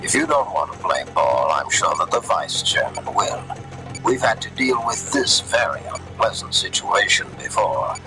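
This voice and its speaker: An actor playing a menacing male power broker who calmly threatens a leader in a game cutscene, with music under his voice. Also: lowish voice, low-quality recording. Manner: cold, measured threats.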